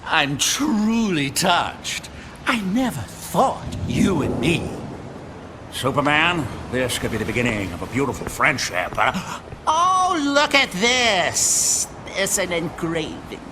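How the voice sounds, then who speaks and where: An actor playing a small, excitable, mischievous imp in an animated superhero cartoon, with a theatrical, gushing delivery. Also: male voice, fairly high pitch, slow pace. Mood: gushing, touched. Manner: theatrical, gushing, exaggerated swoops. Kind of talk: animated superhero villain voice acting. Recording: clear background noise.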